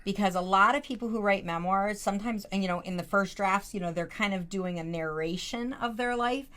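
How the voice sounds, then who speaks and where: A woman's voice: mid-range voice, a little fast, fairly bright tone. A woman hosting an interview podcast, encouraging her guest with frequent affirmations. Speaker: podcast host. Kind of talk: casual interview chat.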